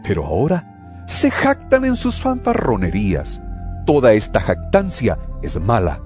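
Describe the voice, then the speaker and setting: A stern apostle exhorts believers in a dramatized scripture reading. His delivery is solemn and emphatic, with pitch that rises and falls widely. Music plays behind the voice. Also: huge pitch swings, unhurried, darkish tone. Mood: admonishing. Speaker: narrator reading a Bible epistle.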